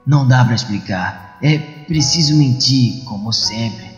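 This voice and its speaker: A man's voice: mid-range voice, unhurried, lightly acted. A man playing an introspective, absent-minded, earnest young man in a comic-book dub. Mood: troubled. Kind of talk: dubbed comic-book dialogue and inner monologue. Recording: echoey, muffled, music behind.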